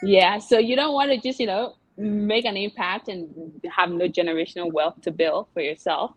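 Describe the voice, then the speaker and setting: A woman's voice: mid-range voice, normal speed, rough recording. A young woman from Liberia, a guest entrepreneur in an interview, talking casually with many fillers and laughs. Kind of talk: interview chat.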